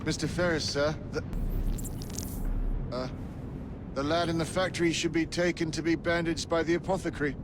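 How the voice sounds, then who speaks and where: Guy playing nervous factory underling, highish voice, hesitant, noisy rumble behind. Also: steady tone, normal speed, pauses often, close to an everyday voice. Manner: hesitant, deferential. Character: timid, deferential British male factory underling. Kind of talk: video game cutscene acting.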